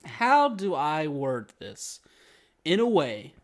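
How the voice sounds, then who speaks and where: This is a young man on a casual comedy podcast, swearing freely and laughing through his own stories. His voice sits a touch high and leaps up and down constantly, and his rambling delivery keeps tumbling into self-corrections. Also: wildly swooping pitch, takes it slowly. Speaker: podcast co-host.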